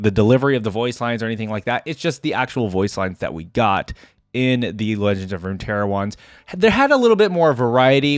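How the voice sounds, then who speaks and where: A man's voice: mid-range voice, no echo, clean studio sound. A man hosting a reaction video, giving casual off-the-cuff game commentary in a rambling, conversational way that often checks for agreement. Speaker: YouTube reaction host.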